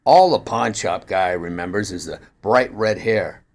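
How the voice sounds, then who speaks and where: A man's voice: fairly low pitch, a little slow, darkish tone. Man playing a dry, skeptical detective. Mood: wry, skeptical. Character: skeptical small-town male police detective, wry friend. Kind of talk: acted dialogue in a story reading.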